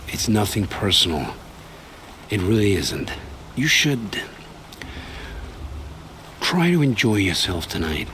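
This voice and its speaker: An actor playing a gruff, world-weary male traveller in a video game, aloof, dry and dismissive. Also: on the low side, very animated, slow pace, long pauses, a bit bright, a little hiss or hum, full character voice.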